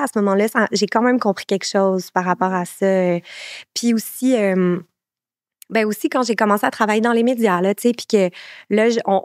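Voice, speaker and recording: A woman's voice: mid-range voice, no room sound, clean studio sound. A woman in her thirties with a Québécois French accent, a podcast guest speaking in casual conversation, thoughtful and searching, with frequent fillers and restarts.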